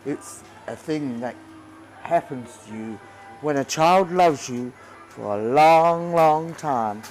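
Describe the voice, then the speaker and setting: Wise old toy horse for a storybook, played by a woman: kindly, truthful, unhurried, lowish, faint music underneath. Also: lively intonation, a little mellow, rough recording. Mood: gentle, earnest.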